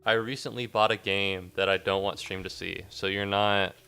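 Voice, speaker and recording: A man's voice: sits low, fairly even intonation, moderate pace, gravelly, pushed and bright, smooth, soft background music. A young American man, a little sniffly after a cold, chatting in a rambling, self-mocking way to his viewers during a casual game livestream. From United States. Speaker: game streamer. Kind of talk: casual livestream chat while gaming.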